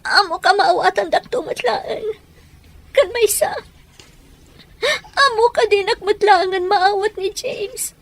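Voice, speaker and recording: A woman's voice: very high voice, slow, creaky, long pauses, no room sound, heavily acted. A woman playing an anguished, guilt-ridden young mother who speaks through tears in an audio soap drama. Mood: tearful, distraught. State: crying.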